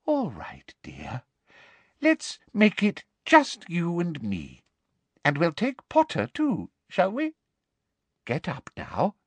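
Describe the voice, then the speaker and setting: A woman's voice: fairly low pitch, sing-song, moderate pace, husky, no room sound, pitched above the performer's own voice. A woman voicing a harsh, power-hungry female official who speaks sharply with a false sweetness.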